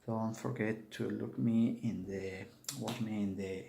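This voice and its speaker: A man from Peru with a Peruvian Spanish accent, a native Spanish speaker, giving an earnest spiritual talk to his viewers in halting English. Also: lowish voice, fairly even intonation, vocal fry, mellow, a little hiss or hum. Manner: earnest and urging, with halting non-native phrasing. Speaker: spiritual teacher. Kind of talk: earnest spoken address to camera.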